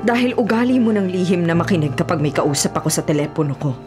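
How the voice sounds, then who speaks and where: A woman acting a ruthless, hot-tempered Filipino businesswoman, sharp-tongued and imperious, in a radio drama. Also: on the low side, expressive, fast, natural voice. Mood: cold defiance. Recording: radio drama with clear music bed.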